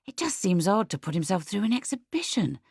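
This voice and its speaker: A woman voicing a worried, sceptical adult daughter in an audiobook, questioning and quietly critical. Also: mid-range voice, very animated, fairly quick, gravelly, slightly rough, no echo, studio quality, voice pushed up, full character voice.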